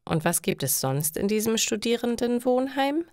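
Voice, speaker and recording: A woman voicing a kindly, supportive middle-aged father in a storybook, gently reassuring and slow. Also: a little high, sing-song, no echo, clean studio sound, pitched above the performer's own voice.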